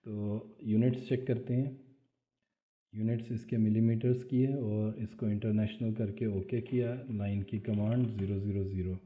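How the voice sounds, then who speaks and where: Man calmly talking through a drafting tutorial. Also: fairly low pitch, moderate pace. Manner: explanatory, steps through actions aloud. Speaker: CAD drafting instructor. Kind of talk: screen-recorded software tutorial. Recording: tinny phone-like audio.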